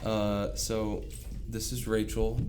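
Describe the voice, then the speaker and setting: A man's voice: lowish voice, completely flat delivery, takes it slowly, heavy background noise. A teenage man hosting a casual podcast chat, sounding congested and hesitant with lots of "uh"s. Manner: hesitant, filler-heavy. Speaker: podcast host. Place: indoor room, filmed on video.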